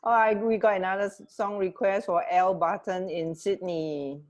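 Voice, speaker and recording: A woman's voice: mid-range voice, steady tone, normal speed. A woman co-hosting a casual radio chat, phrasing things a little hesitantly. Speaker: radio co-host.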